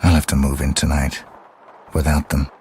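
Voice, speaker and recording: An actor playing a stoic hitman in a video game, speaking in a terse, deadpan manner. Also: very deep voice, moderate pace, a little hiss or hum. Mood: weary, resolved. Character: cold, stoic male professional assassin.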